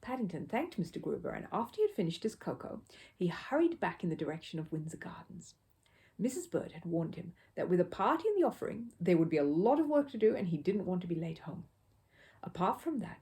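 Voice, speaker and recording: Animated, mellow woman reading a children's story. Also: medium pitch, brisk. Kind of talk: expressive children's audiobook narration.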